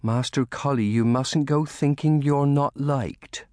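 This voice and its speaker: A man's voice: fairly high pitch, sing-song, unhurried, no room sound, clean studio sound, pitched above the performer's own voice. A man voicing a devoted young maidservant in a storybook, fussing over her charge in a motherly, anxious way. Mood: gentle, reassuring. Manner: anxious, motherly scolding and soothing. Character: devoted, fussing young maidservant woman of humble cottage family.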